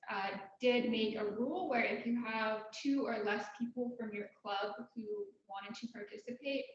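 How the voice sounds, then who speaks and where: Soft-spoken woman in an echoey room. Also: on the high side. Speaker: club president running a meeting. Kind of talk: casual meeting opening. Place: meeting room, in person. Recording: very echoey room, dull sound.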